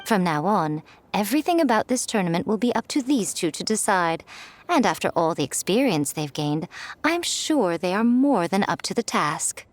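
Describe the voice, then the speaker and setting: An elegant, self-possessed game heroine acted by a woman with a mid-pitched voice. She speaks with languid wryness and teasing aloofness, always sounding assured. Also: sing-song, moderate pace, no room sound, natural voice. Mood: composed, assured. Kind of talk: video game character acting.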